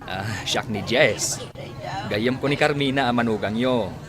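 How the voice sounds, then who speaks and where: A man acting a friendly, concerned old friend in a radio drama, speaking in an animated way. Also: medium pitch, unhurried, natural voice. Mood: friendly, curious.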